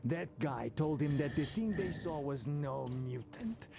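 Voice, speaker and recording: An actor playing a gruff, earnest, gullible wasteland gossip in a video game, with a gravelly voice. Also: male voice, on the high side, expressive, a little slow. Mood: earnest, indignant. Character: gullible, gruff male wasteland gossip full of conspiracy tales. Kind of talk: video game cutscene dialogue. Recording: very loud background noise.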